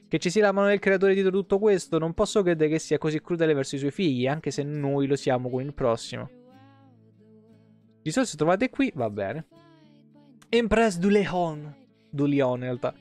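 A man in his thirties with a fairly high voice reads game lore aloud on a livestream. He tells it expressively, breaking off for offhand comments and corrections. Soft guitar music plays faintly behind him. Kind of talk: reading game lore aloud with casual asides. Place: indoor room, webcam livestream.

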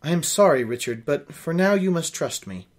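A man voicing a guarded, serious young woman on the run in a novel, measured and earnest. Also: fairly high pitch, expressive, natural voice.

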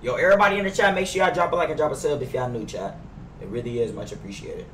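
A man's voice: on the high side, very fast, fairly bright tone. A young man streaming a game live, chatting casually in informal slang. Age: young adult. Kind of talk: casual live-stream chat while gaming. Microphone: gaming headset. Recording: echoey, distant, game car noise.

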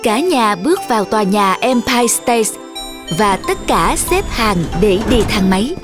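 A woman's voice: fairly high pitch, lively intonation, a little slow. A woman reading scripted narration for a children's fairy tale. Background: tinkling children's music.

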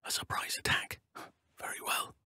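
An actor playing a composed, stealthy elf druid in a video game, speaking hushed lines in a breathy whisper. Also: male voice, normal speed, no room sound, clean studio sound.

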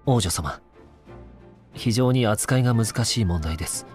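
An actor playing a courteous, inquisitive newspaper reporter in a Japanese video game, a man with a measured way of talking. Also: on the low side, lively intonation, darkish tone, soft background music. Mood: courteous, attentive. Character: courteous, probing young newspaper reporter interviewing royalty.

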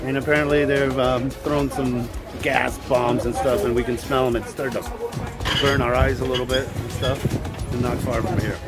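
A man's voice: mid-range voice, fairly even intonation, moderate pace. A man in his fifties talking casually and informally as a sailing vlogger, recorded on a handheld camera. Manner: informal, colloquial. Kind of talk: casual vlog chat. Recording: music over voice.

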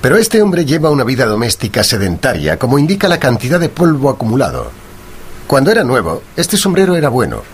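Un actor interpreta a un detective brillante y seguro, didáctico y preciso, en una radionovela. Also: male voice, mid-range voice, wildly swooping pitch, quick pace, slight background noise. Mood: confident, amused. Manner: didactic, assured, precise. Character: brilliant, confident male detective, teasing and methodical. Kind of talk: Spanish-language radio drama dialogue.

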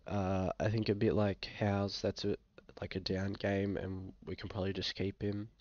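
A man's voice: low-pitched, flat delivery, normal speed, a little mellow, no room sound, muffled recording. A young man with an Australian accent, a bit sick, talking casually and hesitantly through a screen-share review with many fillers. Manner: laid-back, frequent fillers, hesitant. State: sick, occasional coughing. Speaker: fantasy football vlogger. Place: small room indoors.

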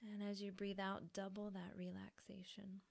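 A woman softly guiding a yoga relaxation meditation in a breathy, soothing manner with pauses. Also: mid-range voice, little pitch movement. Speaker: yoga nidra teacher.

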